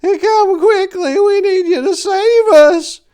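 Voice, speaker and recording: A man's voice: very high voice, slow pace, a little mellow, voice pushed up. A man voicing a gullible, insistent old lady in a screechy, panicked voice for a short story reading. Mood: shrill panic.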